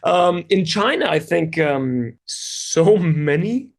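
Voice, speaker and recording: A man's voice: on the high side, lively intonation, slow. A middle-aged man from Canada, an interview guest, talking casually and rambling with fillers and restarts. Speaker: interview guest, English teacher.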